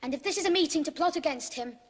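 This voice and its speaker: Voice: high-pitched, quick pace, close to an everyday voice. A performer playing an earnest, loyal schoolboy adventurer who speaks up forthrightly in an audio drama. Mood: defiant. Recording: long cavernous reverb.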